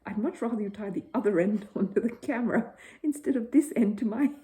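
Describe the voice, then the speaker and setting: A woman's voice: medium pitch, brisk, mellow, pitched above the performer's own voice, natural voice. A woman voicing a grumbling, long-suffering father who protests, in a children's bedtime story.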